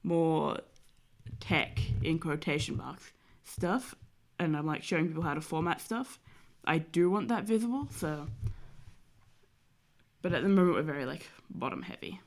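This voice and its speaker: A young woman talks through a drawing stream while sick, her voice nasal and blocked up from a cold. Her pitch sits a little low and she rambles loosely, leaning on fillers as she works. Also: a little slow. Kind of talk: casual chat while drawing.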